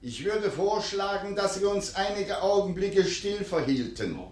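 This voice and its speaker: A man's voice: high-pitched, lively intonation, measured pace, a little mellow, far from the mic. An actor in a radio play playing a formal male chairman of parliament, proclaiming to the house. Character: formal, orderly speaker presiding over parliament. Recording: echoey hall from afar, crowd behind.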